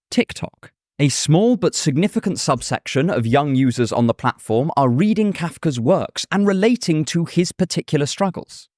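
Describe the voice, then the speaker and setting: A man narrating a scripted video essay in a measured, thoughtful way. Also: fairly high pitch, no echo, studio quality. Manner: measured, thoughtful, with a casual aside.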